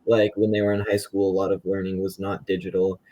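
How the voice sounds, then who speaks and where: A man's voice: sits low, flat delivery, fast, warm tone, rough recording. A young man speaking as a guest in a conversational panel discussion. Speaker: student school board member, podcast guest.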